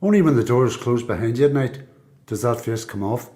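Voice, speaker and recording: A man's voice: mid-range voice, lively intonation, brisk, darkish tone, lightly acted. A man with a Belfast accent playing a cynical, defiant prisoner in a radio play, blunt, colloquial and sweary. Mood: wry, guarded. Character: cynical, defiant male prisoner, streetwise and distrustful.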